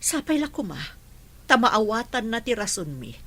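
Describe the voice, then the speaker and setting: A woman's voice: fairly high pitch, big pitch swings, moderate pace, pushed and bright, exaggerated voice. A woman playing a patient, caring middle-aged mother in a radio drama, soothing and coaxing. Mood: soothing, concerned.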